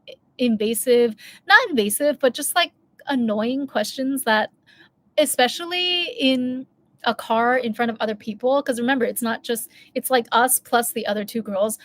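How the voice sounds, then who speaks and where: A woman, telling a long candid story in a casual vlog, full of asides and self-corrections. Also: a little high. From United States. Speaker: vlogger.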